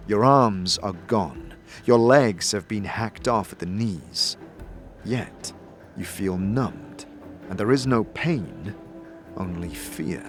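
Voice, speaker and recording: A man narrating a dark fantasy audio drama in the second person, with deliberate, suspenseful storytelling. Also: fairly low pitch, music in the background.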